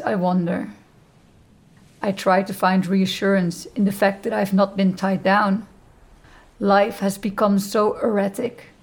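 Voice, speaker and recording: A woman reading a first-person novel as an audiobook narrator, with measured, deliberate phrasing. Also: medium pitch, creaky, darkish tone.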